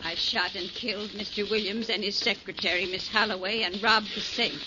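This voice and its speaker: A woman's voice: mid-range voice, steady tone, moderate pace, natural voice. An actress playing a middle-aged mother, bitter and resolute, in a 1940s radio drama. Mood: grimly resigned. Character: stubborn, bitter, protective mother of grown sons. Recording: noisy, muffled 1940s radio recording.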